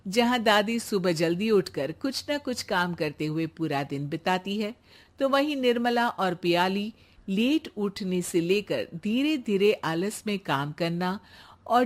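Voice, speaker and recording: A woman reading scripted narration as the storyteller of a cartoon tale. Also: medium pitch.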